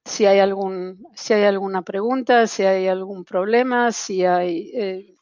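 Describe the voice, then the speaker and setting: Slow, hesitant Argentine woman. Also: medium pitch, steady tone, darkish tone, no room sound, dull audio. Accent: Argentine Spanish. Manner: hesitant, many fillers and restarts. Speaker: guest, translation-tool specialist. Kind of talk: interview over video call.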